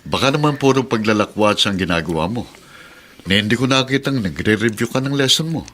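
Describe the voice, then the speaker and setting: A man's voice: on the low side, animated, fairly quick, a bit bright. A man playing a stern, sarcastic middle-aged uncle in a radio drama, scolding as he talks. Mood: disapproving. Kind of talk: Tagalog radio drama acting.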